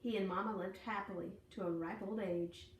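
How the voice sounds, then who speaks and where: A woman's voice: mid-range voice, steady tone, normal speed. Woman, dramatic storybook read-aloud, folksy delivery, distant mic, roomy echo with faint noise. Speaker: storybook reader. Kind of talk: expressive read-aloud of a children's picture book.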